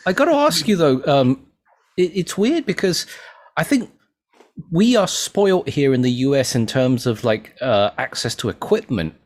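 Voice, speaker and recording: A man's voice: fairly high pitch, sing-song, normal speed, a little mellow. A man from London with an English accent, chatting casually and asking curious questions. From England. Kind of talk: casual chat.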